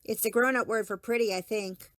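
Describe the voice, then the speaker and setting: Woman as a high, cheeky little girl. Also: steady tone, brisk, fairly bright tone, no room sound, studio quality, natural voice. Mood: playful. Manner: childlike, frank. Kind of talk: motion comic voice acting.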